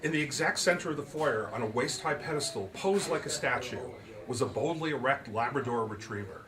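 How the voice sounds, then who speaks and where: A man's voice: medium pitch, animated, brisk, a crowd in the background. A man giving a live reading of his comic mystery novel as storybook narration. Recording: live reading with clear background noise. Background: clear ambient noise.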